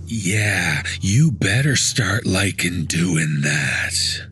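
A man voicing a lewd, menacing late-night radio DJ with a soft, eerie, muttering delivery in a horror story. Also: low-pitched, lively intonation, slight background noise, voice pushed down, heavily acted. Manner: muttering, slow, unsettling.

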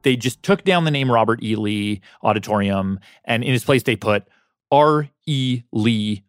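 A man hosts a radio documentary in a mid-pitched voice. He tells the story conversationally, doubling back on words and halting mid-sentence. Soft music plays behind him. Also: lively intonation, clean tone, no room sound. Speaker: radio documentary host and reporter.

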